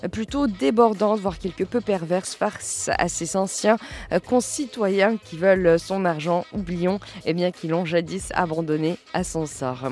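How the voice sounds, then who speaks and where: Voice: medium pitch, a little slow, a little mellow, soft background music. A radio culture show host presenting a film review and introducing a guest from a prepared text, with some fillers.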